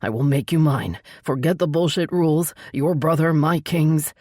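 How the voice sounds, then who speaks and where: A woman voicing a possessive, hot-tempered male vampire lover in a romance audiobook, growling and forceful in an insistent way. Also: moderate pace, no room sound, clean studio sound. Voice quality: low, growling. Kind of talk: dramatic romance audiobook dialogue.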